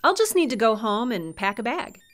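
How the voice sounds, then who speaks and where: A woman playing a warm, unflappable CIA officer in an audio drama, breezy and assured. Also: on the high side, big pitch swings, brisk, soft background music. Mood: determined, affectionate.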